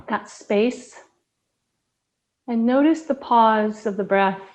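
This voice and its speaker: Slow, soft-spoken woman guiding breathing. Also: mid-range voice. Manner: slow, soft, soothing guidance with long pauses. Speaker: yoga and breathwork teacher. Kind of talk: guided breathing meditation.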